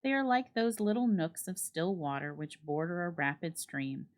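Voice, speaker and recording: A woman narrating a classic short story as a dramatic literary reading, in a measured, expressive storytelling manner. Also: fairly low pitch.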